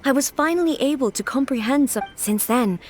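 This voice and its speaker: A woman voicing a stately, composed woman ruler in a video game, speaking in a formal, measured, aloof way. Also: high-pitched, a little mellow. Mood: calm. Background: game music.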